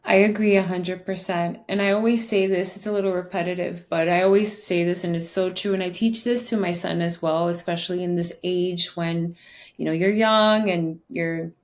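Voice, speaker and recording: A woman's voice: on the low side, fairly even intonation, normal speed. A woman hosting a podcast, talking casually and motivationally in an earnest, emphatic way, repeating phrases for emphasis. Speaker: podcast host.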